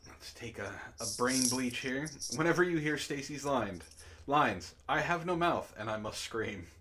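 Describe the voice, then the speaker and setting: A man reading a story aloud to friends over voice chat, deadpan in the narration and laughing often between lines. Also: medium pitch, unhurried, background noise. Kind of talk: casual group reading and chat.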